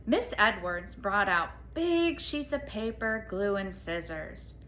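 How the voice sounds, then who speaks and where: A woman's voice: mid-range voice, sing-song, slow, fairly bright tone, slight background noise, poor audio. A woman reading a storybook aloud to children, expressively, with explanatory asides and questions to the listeners.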